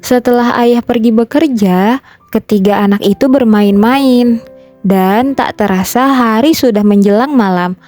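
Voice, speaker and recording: Mellow woman telling a folktale. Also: a little high, unhurried. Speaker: storyteller. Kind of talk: scripted children's folktale narration. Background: background music.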